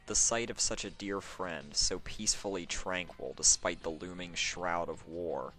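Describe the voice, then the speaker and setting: Deep-voiced man narrating a story, sore throat. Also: pushed and bright. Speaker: fan fiction reader.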